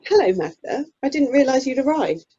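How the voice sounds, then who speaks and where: A kindly, chatty British woman of the local drama club as played by an actress, mellow and husky. Her easygoing radio-play lines come through muffled video call sound. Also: sits high, expressive, brisk. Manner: easygoing, complimentary. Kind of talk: amateur radio play acting.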